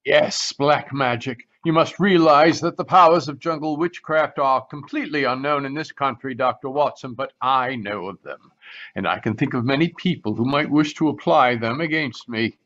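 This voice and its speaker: A man playing a proud, haunted retired colonel in a radio mystery, formal and excitable, heard over a video call. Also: mid-range voice, moderate pace, close to an everyday voice. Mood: haunted, frantic. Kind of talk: 1940s radio mystery acting. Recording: dull, lo-fi video call audio.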